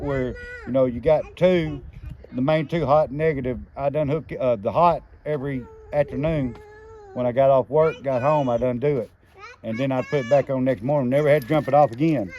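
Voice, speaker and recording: A man's voice: medium pitch, normal speed, mellow, highs cut off. A middle-aged man with a Southern US accent telling an anecdote casually in a folksy, rambling, plainspoken way. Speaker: storyteller on a homesteading family channel. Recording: noisy ambient background.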